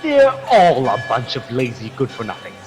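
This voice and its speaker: An actor playing a pompous, contemptuous Spanish friar in a radio drama, full of scorn. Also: male voice, mid-range voice, huge pitch swings, moderate pace, in a large hall, soft background music. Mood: scornful.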